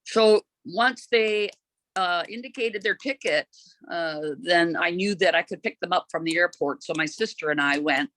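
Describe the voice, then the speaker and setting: A volunteer host, talking informally at a meeting and often pausing to search for words. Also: medium pitch, sharp tone, no echo, rough recording.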